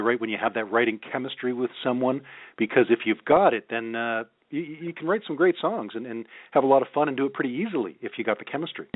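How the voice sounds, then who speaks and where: Quick-talking male interviewer, thin phone-like sound. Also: medium pitch, dry recording. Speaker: radio show host interviewer. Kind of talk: conversational interview. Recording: narrow tinny phone-like line.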